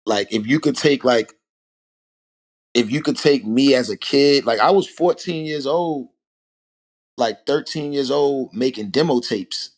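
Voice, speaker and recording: A man, a rapper telling stories as a podcast guest in a relaxed, animated way. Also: medium pitch, measured pace. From Harlem, New York. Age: 40s. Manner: animated storytelling, rhetorical asides. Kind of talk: casual podcast conversation.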